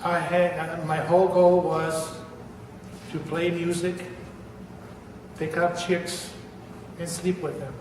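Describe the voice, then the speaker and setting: A man's voice: fairly high pitch, level tone. Older man with an Argentine accent. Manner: earnest, deliberate, repeats words for emphasis. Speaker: church member giving testimony. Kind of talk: personal religious testimony. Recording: echoey hall, voice from far off, noisy.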